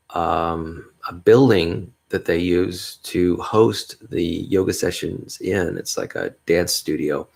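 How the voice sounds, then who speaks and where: A man hosting a solo podcast, talking in a mellow bass voice, upbeat and rambling, with frequent chuckles. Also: gravelly. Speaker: podcast host. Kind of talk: casual solo podcast monologue.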